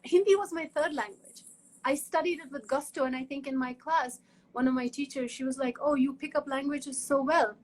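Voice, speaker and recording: A woman's voice: high-pitched, fairly even intonation, a little fast, a little mellow, low-quality recording. A woman from Kashmir, speaking earnestly in a conversational interview, often restarting and repeating words. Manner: earnest, frequent restarts and repetitions. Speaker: guest academic in conversation.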